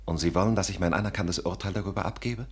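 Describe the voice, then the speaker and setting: An actor playing a vain, flattering Parisian art dealer, suave, florid and pompous, in a 1950s radio drama. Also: male voice, sits low, quick pace, husky. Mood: effusive.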